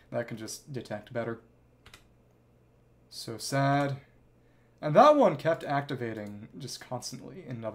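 A man giving casual livestream commentary on a game, thinking aloud in clipped fragments and reading bits of dialogue. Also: mid-range voice. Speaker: livestreamer, virtual avatar host.

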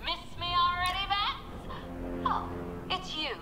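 A woman's voice: extremely high pitch, takes it slowly, sharp tone, soft background music, full character voice. A woman playing an unhinged, lovesick young villain in a video game, singsong, taunting and theatrical. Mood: taunting menace.

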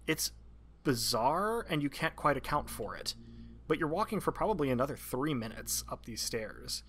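A man running a tabletop game as game master, narrating and bantering off the cuff with hesitant, deliberate descriptive phrasing. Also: medium pitch, big pitch swings, fairly bright tone, a little hiss or hum.

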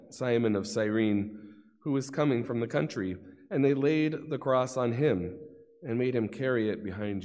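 A man's voice: mid-range voice, a little mellow. A middle-aged man giving a formal, solemn narration of scripture at a church lectern. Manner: formal, deliberate phrasing. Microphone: gooseneck lectern microphone. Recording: long church reverb.

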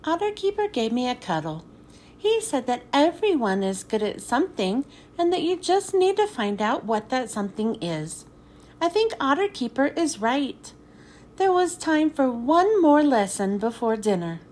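A woman reading a children's picture book aloud in an expressive storytelling manner, then thanking her listeners. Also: high-pitched, moderate pace, a little hiss or hum.

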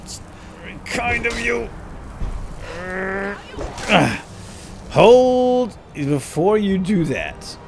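A man's voice: high voice, sing-song, very slow, vocal fry, long pauses, a little hiss or hum. A man giving playful, theatrical, lightly role-played video game commentary, talking to his companion as he plays. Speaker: gaming video host. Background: game ambience and effects.

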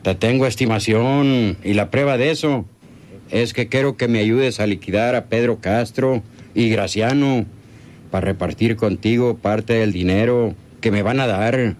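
A man's voice: lowish voice, a little slow, a little mellow, lightly acted. A man playing a scheming, greedy country henchman in a radio serial, talking in a coaxing, rustic, colloquial way. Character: scheming rural male bandit henchman, persuasive and greedy. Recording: noisy old radio serial recording.

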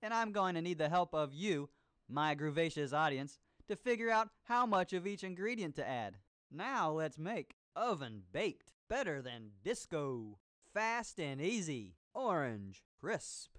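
A man playing a groovy, hammy disco-era TV cooking show host in an educational game, using showy slang and drawn-out words. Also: on the high side, big pitch swings, no echo, clean studio sound. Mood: groovy, upbeat. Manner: hammy, drawn-out showman delivery. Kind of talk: exuberant 1970s disco slang show hosting. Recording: loud music under the voice.

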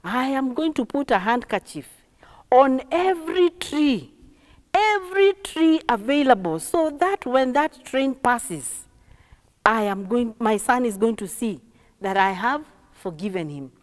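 A woman's voice: on the high side, very animated, measured pace. A woman voicing a loving, forgiving father in a story, speaking earnestly and emphatically. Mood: determined, hopeful. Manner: earnest, emphatic, repeating himself. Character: loving, forgiving father longing for his son. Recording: echoey hall with long reverb.

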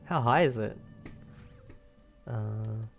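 Slow-talking young man gaming. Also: lowish voice, gravelly, faint music behind. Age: young adult. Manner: relaxed, musing aloud. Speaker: game streamer. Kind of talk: casual playthrough commentary. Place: small room indoors.

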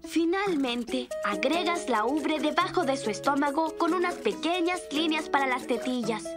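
A cheerful little boy rabbit from a preschool cartoon. The voice is high and childlike, with simple, instructive phrasing for small children. Loud music plays behind it. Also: animated, moderate pace. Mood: patient, instructive. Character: cheerful young boy rabbit who loves drawing.

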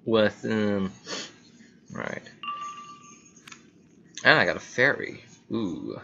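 A man with a fairly low voice commentates casually on his own gameplay. He talks very slowly and rambles, addressing both viewers and himself. The amateur recording is clearly noisy. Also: animated, sharp tone, muffled recording.

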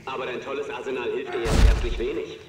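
An actor playing a sneering video game adversary who taunts in a mocking, condescending way. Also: male voice, mid-range voice, fairly even intonation, fast talker, natural voice. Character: sneering male adversary taunting over intercom. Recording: distant, echoey, very noisy game audio.

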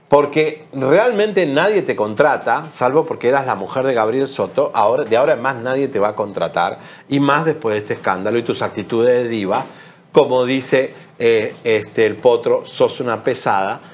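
A middle-aged man with an Argentine accent, co-hosting a celebrity gossip show from a TV studio, scolding and sarcastic in heated commentary. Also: medium pitch. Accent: Argentine Spanish. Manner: indignant, scolding, sarcastic asides. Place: bright TV studio set.

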